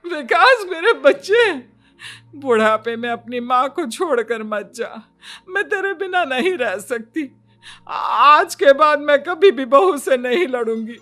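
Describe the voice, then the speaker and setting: A woman's voice: high voice, animated, slow, a bit bright, soft background music, heavily acted. A woman playing an aggrieved, melodramatic elderly Indian mother in a cartoon story, wailing and pleading dramatically. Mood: tearful pleading. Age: older. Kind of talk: exaggerated cartoon story dialogue.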